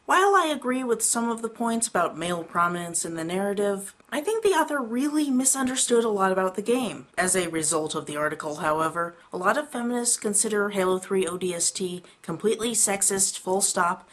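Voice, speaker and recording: Low-pitched woman narrating a review. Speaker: video game reviewer. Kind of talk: scripted analytical narration.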